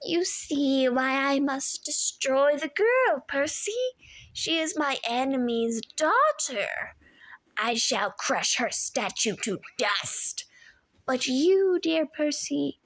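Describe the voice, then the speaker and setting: Sweetly menacing old female monster, put on by a woman narrator; soothing purr, high and slow, storybook read. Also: very animated, a bit bright, exaggerated voice, poor audio. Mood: coaxing.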